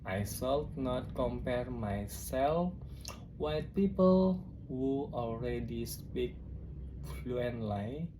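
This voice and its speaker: A young man from Indonesia with an Indonesian accent, reading a prepared speech in English, careful and halting with small grammar slips. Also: mid-range voice. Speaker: student. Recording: noisy background ambience.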